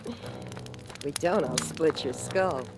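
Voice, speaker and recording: An actress playing a tough, shrewd hobo in a 1940s radio thriller, sardonic, slangy and taunting. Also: female voice, sits low, lively intonation, slow, over music. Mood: hard, threatening. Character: tough wisecracking female hobo, shrewd and mocking. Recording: noisy old radio recording.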